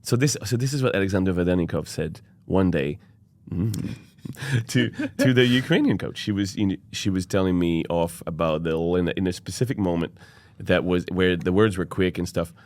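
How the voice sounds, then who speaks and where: A man, an opera singer and podcast guest, chatting casually and telling lively stories, often laughing and mimicking other people. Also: low voice, moderate pace, hardly any pauses, dry recording. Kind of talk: casual chat.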